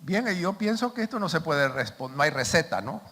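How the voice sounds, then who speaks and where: A man's voice: on the high side, sing-song, fairly quick, bright, forceful tone, slightly rough. An older man, an invited speaker answering audience questions in an emphatic, explanatory way. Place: large conference hall. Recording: echoey hall, background noise.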